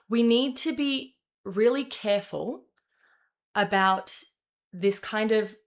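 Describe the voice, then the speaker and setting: A young woman talks through the news from her desk in a loose, off-the-cuff commentary voice, with a middle-pitched tone that sits right where most women's voices do. She rambles, swears and corrects herself mid-sentence as she goes. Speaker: political commentator and host. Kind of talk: casual opinion commentary to camera.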